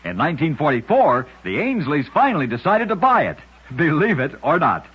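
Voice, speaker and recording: A man narrating scripted short tales as a radio host, with measured, dramatic storytelling phrasing and punchy sign-offs. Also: on the high side, huge pitch swings. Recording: muffled, husky old radio recording.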